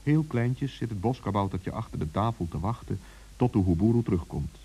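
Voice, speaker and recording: Mellow, husky male storyteller. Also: fairly low pitch, expressive, a little fast. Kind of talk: scripted children's story narration.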